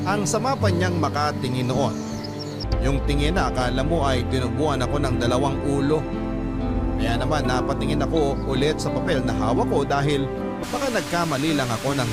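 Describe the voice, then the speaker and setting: A man's voice: medium pitch, lightly acted. A man playing a humble young delivery worker, narrating his story earnestly for a Tagalog radio drama. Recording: loud music bed behind narration.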